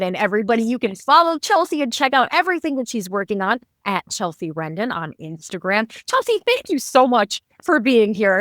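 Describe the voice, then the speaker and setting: A woman hosts an interview podcast. Her voice sits a little high for a woman. The sound is very dry, with hardly any room on it. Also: fairly bright tone, no room sound. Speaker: podcast host. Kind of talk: interview hosting.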